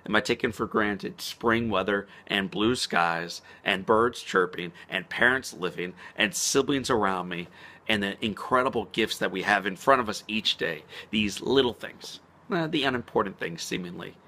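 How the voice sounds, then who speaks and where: A man speaking as a motivational speaker in an inspirational vlog. He talks earnestly and slows down to stress key words. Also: lowish voice, pushed and bright. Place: outdoors on a spring morning.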